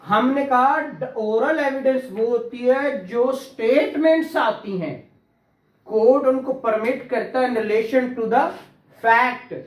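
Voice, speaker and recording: A man's voice: extremely high pitch, slow, roomy sound, poor audio. A man teaching law to a class, quizzing students, repeating key terms and praising answers. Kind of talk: classroom law lecture with questions.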